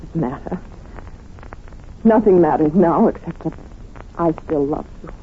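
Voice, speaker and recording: An actress playing a devoted, possessive wife in an old radio mystery, speaking with quiet, insistent intensity. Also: female voice, deep voice, unhurried. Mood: pleading, knowing. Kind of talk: 1940s radio drama acting. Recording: muffled, noisy old radio recording.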